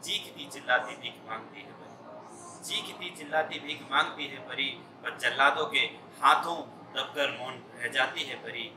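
A young man, slowly declaiming a poem and repeating each line. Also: medium pitch. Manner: slow, repetitive declamation with each line said twice. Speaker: poet reciting his poem. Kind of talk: poetry recitation. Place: indoor room in front of a brick wall. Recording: echoey room, mic at a distance, clear background noise.

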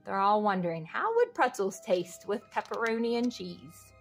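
A woman's voice: medium pitch, big pitch swings, moderate pace, gravelly, soft background music. A woman reading a children's picture book aloud expressively, with sing-song refrains and little questions to young listeners. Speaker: read-aloud host.

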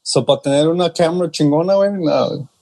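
A man's voice: on the high side, fast talker, a little mellow. A man with a Chicano Los Angeles accent, co-hosting a podcast, joking around and dropping Spanish slang. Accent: Chicano English, Los Angeles. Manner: joking, laid-back, drops Spanish slang. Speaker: podcast co-host. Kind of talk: casual chat.

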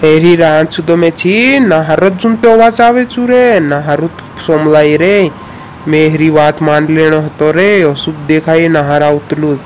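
Man playing an excitable high-pitched cartoon village boy. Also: measured pace, a little hiss or hum, lightly acted, poor audio. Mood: alarmed. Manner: urgent, exclamatory, repeating warnings. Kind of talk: village cartoon comedy dialogue.

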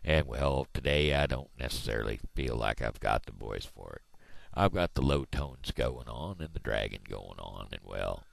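Folksy low-voiced man with headset. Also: lively intonation, moderate pace, creaky, dry recording. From Missouri, USA. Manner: folksy, rambling, drawling. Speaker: video blogger. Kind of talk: casual rambling monologue. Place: small room indoors. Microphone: headset microphone.

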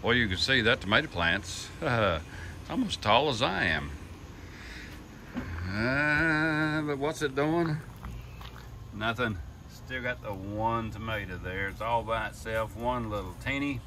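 An older man talks casually as he films a tour on his phone, folksy and full of "uh"s. His voice is bright and forward. A faint hiss of background noise sits under him. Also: medium pitch, animated, takes it slowly. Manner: folksy, chatty, with many "uh" fillers and "yeehaw". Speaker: vlogger touring his house build. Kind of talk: casual walkthrough narration. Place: unfinished wooden house frame, indoors and on outdoor steps. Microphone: phone camera held by the speaker.

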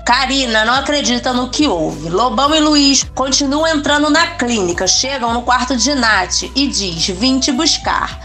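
A woman narrating soap opera plot recaps in an even, scripted reading. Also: on the high side.

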